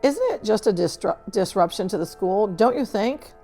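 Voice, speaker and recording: A woman voicing a stern, controlled older female schoolteacher in a storybook, speaking in a measured, precise, formal way. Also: medium pitch, lively intonation, dark tone, soft background music, pitched above the performer's own voice, natural voice. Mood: firm, probing. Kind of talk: storybook read-aloud character dialogue.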